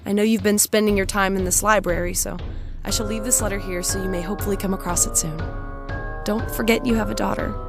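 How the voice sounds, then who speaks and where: A woman's voice: mid-range voice, fairly even intonation, fast, creaky, natural voice. A young woman playing a bewildered, earnest teenage girl from the past in a hobby audio drama. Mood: sad, pleading. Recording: music playing behind the voice.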